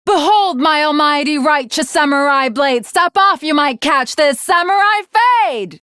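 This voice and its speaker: A young samurai woman from an anime game, with a very high voice that moves through dramatic swings. She is earnest and haughty, choosing archaic, formal words and delivering them with theatrical weight, in an unhurried, closely dry sound. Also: lively intonation, bright, forceful tone, no room sound, exaggerated voice. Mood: bombastic, excited. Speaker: video game voice actress.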